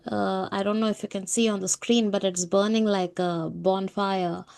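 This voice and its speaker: A woman's voice: medium pitch, fairly even intonation, normal speed, gravelly, a little mellow. A woman co-hosting a conversational spiritual talk, explaining things and often checking in with a questioning "right?". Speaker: podcast co-host.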